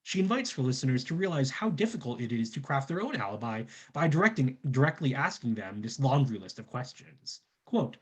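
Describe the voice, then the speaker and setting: Husky male academic presenting research. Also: medium pitch, big pitch swings, brisk, hardly any pauses. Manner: scholarly, formal wording with quoted citations.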